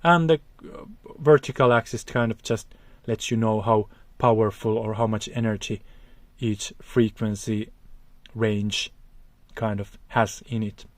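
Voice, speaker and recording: A man's voice: fairly low pitch, fairly even intonation, a little slow, no room sound. A man with a Finnish accent teaching vocal technique, explaining acoustics in a careful, hesitant way. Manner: careful, hesitant, searching for words.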